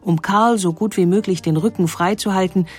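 A woman narrating a scripted historical documentary in a measured, clearly phrased storytelling manner. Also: medium pitch, soft background music. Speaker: narrator of a historical audio feature.

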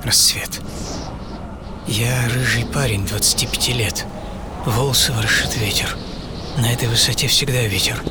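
An actor playing a daring, exhilarated 25-year-old tightrope walker in a theatrical first-person radio monologue, moving between hushed pauses and fervent intensity. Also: male voice, medium pitch, very noisy, lightly acted. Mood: hushed wonder. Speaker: actor and author of the programme. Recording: studio voice under loud music. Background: loud dramatic music.

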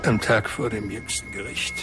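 A man's voice: lowish voice, fairly even intonation, moderate pace, natural voice. An actor playing a brooding man who awaits judgment day, speaking in grim half-whispers. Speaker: radio play actor. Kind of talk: radio drama acting. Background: music.

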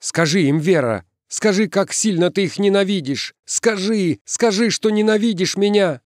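A man's voice: high-pitched, normal speed, darkish tone, dry recording, studio quality, voice pushed up, natural voice. A man voicing a desperate outcast boy in a story, pleading urgently and crying out to belong.

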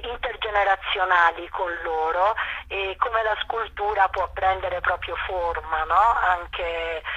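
Bright-voiced, husky middle-aged woman. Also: mid-range voice, lo-fi sound. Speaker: theatre director and festival artistic director being interviewed. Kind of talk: spoken interview, explaining a programme.

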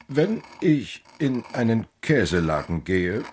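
Stern, low-voiced Victorian stepfather. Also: sing-song, a little mellow, a little hiss or hum. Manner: firm, menacing, measured. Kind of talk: German radio play acting.

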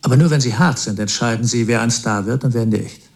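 An actor playing a smug, ruthless rock-business boss in a radio play, boastful, self-assured and cynical. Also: male voice, medium pitch, brisk, a little mellow, lightly acted.

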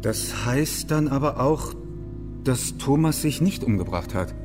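A man plays a reformer's level-headed companion in a historical radio play. The voice is a medium-pitched male one. He speaks in a measured, questioning, reflective way. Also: animated, over music, natural voice. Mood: puzzled, reasoning. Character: calm, thoughtful male companion of a religious reformer. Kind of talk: German radio play acting.

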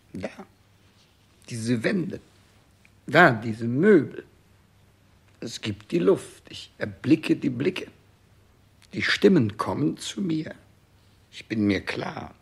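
A man playing a frightened, imperious dying king clinging to life in a 1960s German radio play, with a gravelly, husky, declamatory delivery. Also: medium pitch, fairly bright tone, full character voice. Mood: fearful, clinging.

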